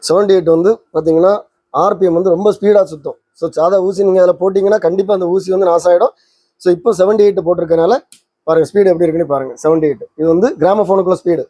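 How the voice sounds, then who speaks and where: A man's voice: sits high, steady tone, quick pace, warm tone, dry recording. A man giving an informal hands-on tutorial, explaining step by step and addressing viewers directly. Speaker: presenter of a record player tutorial channel.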